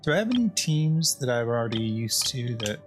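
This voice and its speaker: A man's voice: mid-range voice, big pitch swings, a little fast. A man streams a video game with casual, chatty commentary. His voice sits in a middle register, and he encourages his viewers, often repeating himself. Light music plays underneath. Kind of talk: casual live gaming commentary.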